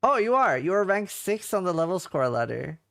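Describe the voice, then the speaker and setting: A man's voice: high voice, sing-song, fairly quick, creaky, fairly bright tone, dry recording, studio quality. A man hosting a casual post-show interview, asking upbeat questions with many fillers. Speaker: show host.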